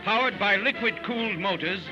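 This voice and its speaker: A man's voice: sits high, moderate pace, muffled recording. An American man reading a scripted 1940s newsreel in a dramatic, punchy way over music. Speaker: newsreel narrator. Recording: band-limited 1940s newsreel soundtrack, loud music. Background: loud newsreel music.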